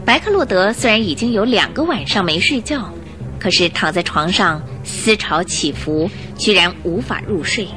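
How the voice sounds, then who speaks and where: A woman narrates a radio drama in expressive storyteller style. Her medium-pitched voice rises and falls vividly over faint music. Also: normal speed.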